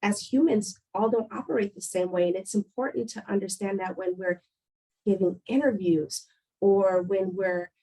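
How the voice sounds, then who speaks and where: A woman moderating a panel discussion, explaining ideas in a measured way with frequent pauses and fillers. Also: mid-range voice, steady tone, dark tone, rough recording.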